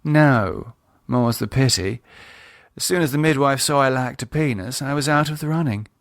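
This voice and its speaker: A man voicing a composed, teasing woman with a sharp edge and a polite, ironic manner in an audiobook. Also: mid-range voice, moderate pace, dry recording, studio quality, lightly acted. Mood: indignant. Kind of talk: audiobook character dialogue.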